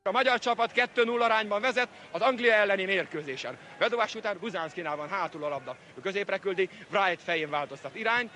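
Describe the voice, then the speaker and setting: High, excited man on noisy old radio. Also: hardly any pauses. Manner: excited, urgent. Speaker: football radio commentator. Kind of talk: 1950s live football commentary.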